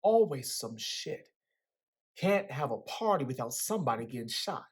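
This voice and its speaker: A woman's voice: very deep voice, big pitch swings, moderate pace, breathy edge, full character voice. A woman playing a cocky, teasing young man with slangy, swaggering speech in a dramatic reading of a novel. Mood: casual, defiant.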